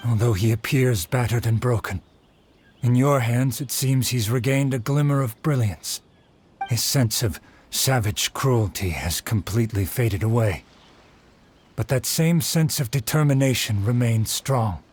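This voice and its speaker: A man's voice: mid-range voice, unhurried, a little mellow, slightly rough. A man playing an earnest, formal young swordsmith in a video game, humble and resolute. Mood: respectful.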